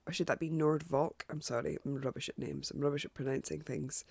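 Croaky congested woman with a gravelly voice. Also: deep voice, fairly even intonation, brisk, a little mellow, no room sound. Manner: chatty, hesitant with many ums and restarts. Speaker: podcast host. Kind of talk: casual podcast sign-off.

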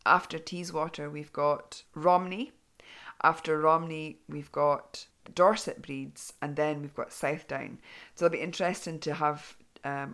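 A woman hosting a craft podcast in a chatty, rambling way, full of ums and restarts, her voice going hoarse. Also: sits low, a bit bright. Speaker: podcast host. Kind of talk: casual podcast chat.

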